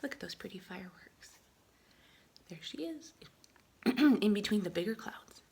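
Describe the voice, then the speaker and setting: A woman reading a picture book aloud to children as a teacher, expressively, often dropping into hushed asides. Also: medium pitch, lively intonation, unhurried.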